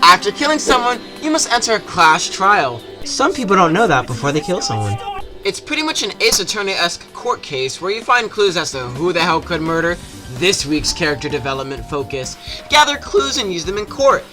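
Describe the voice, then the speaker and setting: A man's voice: sits high, very animated, a little fast, bright, forceful tone. A man narrating a comedic scripted video game review with a sarcastic, exaggerated delivery. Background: music behind the voice.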